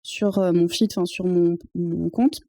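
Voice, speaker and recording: A woman's voice: fairly low pitch, fairly even intonation, unhurried, warm tone, no room sound. Hesitant woman from northern France. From Arras, northern France. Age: 30s. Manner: hesitant, many restarts and fillers, laughs often. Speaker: podcast guest, photographer. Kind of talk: casual conversation.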